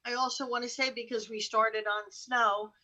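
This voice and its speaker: American female co-host, bright forward voice, slightly high, chatty and candid. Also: level tone, moderate pace. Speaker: show co-host. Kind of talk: casual anecdotal chat.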